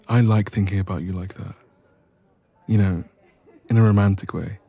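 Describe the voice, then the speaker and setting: Man acting as affectionate young male best friend for romance audio roleplay; dark, relaxed, lowish voice, hesitant, intimate, gently teasing.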